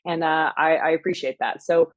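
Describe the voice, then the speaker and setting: A woman's voice: low-pitched, steady tone, moderate pace, dry recording. A woman in her forties from Texas with a Texan accent, hosting her own show in a loose, rambling solo talk full of fillers and restarts. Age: 40s. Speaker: podcast host, physician. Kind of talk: casual solo monologue.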